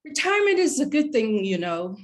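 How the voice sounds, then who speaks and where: A woman's voice: on the high side, big pitch swings, a little fast. A woman playing a retired professor mother of sixty-five in a screenplay read, conversational, fond and reproachful with pointed questions. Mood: hurt, reproachful. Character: retired professor mother, sixty-five, guilt-tripping, warm but wounded. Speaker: actor in a script read. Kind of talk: live screenplay table read.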